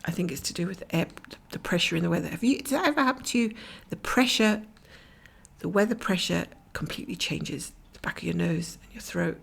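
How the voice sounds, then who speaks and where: Croaky middle-aged woman chatting while stitching. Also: lowish voice, animated, brisk. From Scotland. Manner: rambling, chatty, often drops to a whisper. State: croaky, blocked nose. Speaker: cross-stitch vlogger. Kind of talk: casual stitch-along chat. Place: at home, camera over her stitching hoop.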